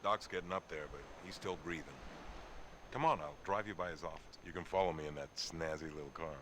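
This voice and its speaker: Man playing a deep-voiced folksy police chief. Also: brisk, noisy background, lightly acted. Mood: folksy, easygoing. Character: gruff, folksy, protective small-town male police chief. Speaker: actor in a video game cutscene. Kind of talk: dramatic dialogue acting.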